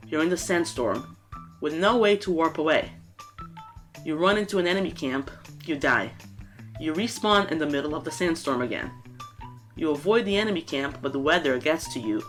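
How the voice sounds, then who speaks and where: A woman with a nasal, congested voice from a cold, giving an earnest, scripted game ranking. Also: fairly low pitch. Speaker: gaming YouTuber.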